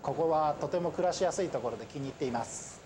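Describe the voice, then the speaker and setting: A Japanese-accented man introduces himself briefly. He talks quickly in a fairly high voice, over clear background noise. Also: a little mellow. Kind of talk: short self-introduction.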